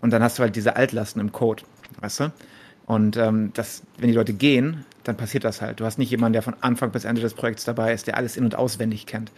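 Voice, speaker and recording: A man's voice: fairly low pitch, fairly even intonation, fast talker, a little mellow, husky. A man co-hosting a podcast, discussing analytically and casually, heavily mixing English industry jargon into his German. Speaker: podcast co-host.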